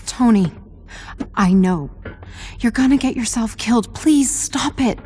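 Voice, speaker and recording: A woman's voice: on the high side, a little slow, darkish tone, natural voice. An actress playing a worried woman who pleads with a reckless hero in a video game, anxious and emotional. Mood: pleading. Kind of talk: video game cutscene acting. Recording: long hall reverb, faint background noise.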